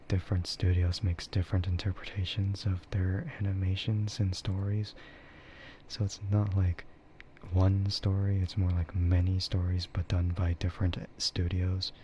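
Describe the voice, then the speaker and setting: A soft-spoken man chatting casually and haltingly in a laid-back ASMR livestream style. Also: low voice. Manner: chuckles often while talking. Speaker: VTuber livestreamer. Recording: muffled audio with clear background noise.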